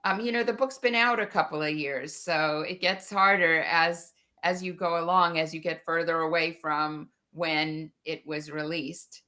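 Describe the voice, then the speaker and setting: A woman answering interview questions as an author, conversational and halting, often repeating words and trailing off. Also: low voice, fairly even intonation, sharp tone.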